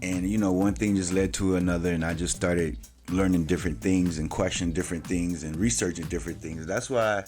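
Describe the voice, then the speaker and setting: A man's voice: low-pitched, fairly even intonation, fairly quick, darkish tone, faint music behind. A man in his late forties talking in a loose, slangy way, with plenty of "you know" and casual swearing, as host of his own vlog. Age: 40s. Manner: loose and slangy, swears freely, filler-heavy. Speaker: channel host.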